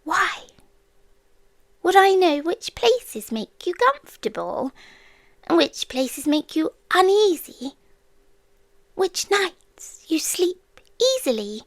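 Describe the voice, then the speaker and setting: Casting: British actress as ancient female yandere creature for ASMR roleplay; high, soft, hushed, tenderly menacing, very close and dry. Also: no room sound, heavily acted. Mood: teasing menace. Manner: deliberate, teasing, hushed, with drawn-out pauses. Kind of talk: slow intimate ASMR roleplay, often dropping to whispers. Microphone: close microphone, dry.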